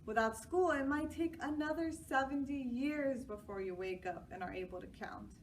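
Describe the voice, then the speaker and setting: A woman's voice: high voice, normal speed, voice pushed up, natural voice. A woman voicing a firm but patient female schoolteacher in a children's storybook, emphatic and instructive. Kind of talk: children's storybook read-aloud. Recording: distant mic, clear background noise.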